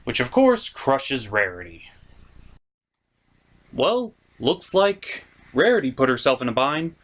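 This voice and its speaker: A man's voice: mid-range voice, sing-song, slow, a bit bright, slight background noise. A man hosting a scripted comedic episode review with a sarcastic delivery. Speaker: reviewer, host.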